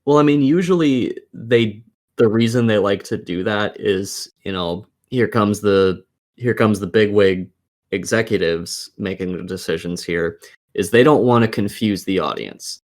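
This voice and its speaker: A man with a fairly low voice talks casually as a podcast co-host. He lays out his opinions in an explanatory way, with some offhand swearing. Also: unhurried, darkish tone. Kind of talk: casual gaming podcast discussion.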